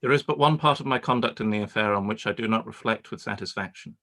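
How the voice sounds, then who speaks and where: Man doing a proud formal gentleman, lowish bright voice, measured delivery. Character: proud reserved young gentleman writing a letter. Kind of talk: live-read radio play.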